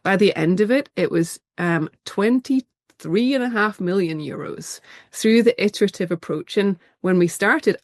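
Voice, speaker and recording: A woman's voice: fairly low pitch, no room sound, studio quality. A woman with a slight cold and a nasal voice, giving an enthusiastic slide presentation as a museum director over a video call.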